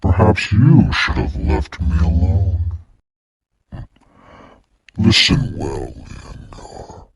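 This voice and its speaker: A man voicing a menacing, vengeful alien mastermind with a gravelly growl, delivering theatrical, taunting villain monologues. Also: deep voice, sing-song, extremely slow, heavily acted. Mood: threatening. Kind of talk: fan character voice clips, dramatic monologue.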